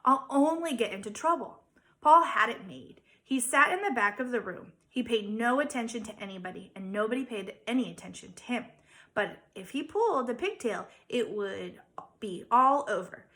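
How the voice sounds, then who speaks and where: A woman, a teacher, reading a children's story aloud with dramatic emphasis and theatrical pauses. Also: a little high, expressive, a bit bright. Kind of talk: expressive children's storybook narration.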